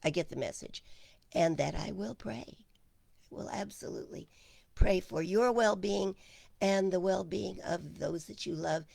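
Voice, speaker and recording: A woman minister hosting a casual online service, chatting with viewers and greeting them by name, her voice hoarse and gravelly. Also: lowish voice, unhurried, darkish tone, dry recording. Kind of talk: casual livestream chat with viewers.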